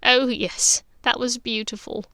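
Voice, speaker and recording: A woman voicing a warm, pleading older sister witch in a story, expressive and a little halting. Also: a little high, no room sound, studio quality. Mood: fond reminiscing.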